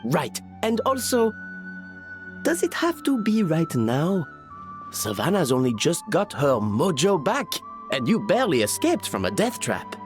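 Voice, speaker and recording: Animated, theatrical young male ally. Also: a little high, unhurried. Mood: concerned. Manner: jaunty, animated. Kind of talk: video game voice acting. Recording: clear background music.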